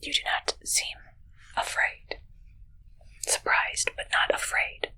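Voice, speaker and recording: A woman voicing a curious, suspicious alien who poses as a human medical examiner in an ASMR roleplay, speaking in halting, clipped, detached phrases. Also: pushed and bright, rough recording.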